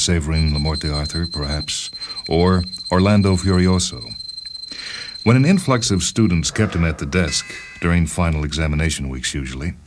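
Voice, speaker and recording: A man's voice: deep voice, a little hiss or hum. A man hosting a radio story program, reading scripted literary narration. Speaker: host and reader of a radio short-story program. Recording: music under the voice.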